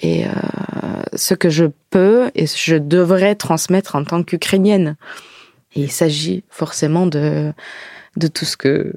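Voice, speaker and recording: A woman's voice: low voice, measured pace, darkish tone, no room sound, clean studio sound. A woman from Ukraine giving a personal account in conversation, reflective and often searching for words. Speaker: podcast guest, diarist.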